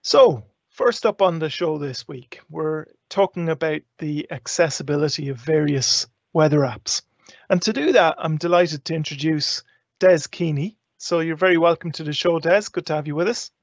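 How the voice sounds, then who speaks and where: An Irish man presents a live online show in a fairly high voice with noticeable fry. His sound is close and dry, with the top end dulled as on a modest call microphone. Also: moderate pace, no echo. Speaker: show host. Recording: dull, close lo-fi online audio.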